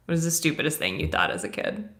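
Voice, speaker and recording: A woman in her thirties whose first language is Russian, reading viewer questions and telling self-mocking stories in a lively way. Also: sits low, fast talker. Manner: animated, self-mocking storyteller. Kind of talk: casual live Q&A chat.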